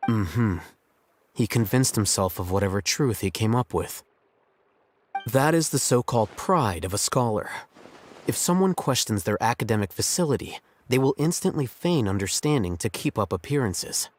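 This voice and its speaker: A man voicing an aloof young scholar who speaks in a measured, dry, cutting way. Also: fairly low pitch, expressive, a little hiss or hum, lightly acted. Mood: sardonic. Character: aloof male scholar, cool and condescending. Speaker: scholar, scribe.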